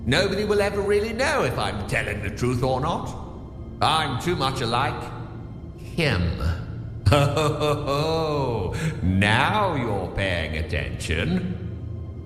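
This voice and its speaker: A man playing a mysterious, knowing figure delivering a theatrical, cryptic monologue in an audio drama, with heavy echo. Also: fairly high pitch. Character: mysterious knowing male figure addressing the listener. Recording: echoey long reverb, music behind.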